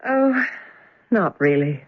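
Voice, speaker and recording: A troubled young fiancee in a vintage radio play. Her delivery is hesitant and earnest, at an average pitch. The sound is muffled and lo-fi. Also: very animated, takes it slowly. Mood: guarded, downcast. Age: young adult. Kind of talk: old-time radio drama acting. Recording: dull lo-fi radio drama recording.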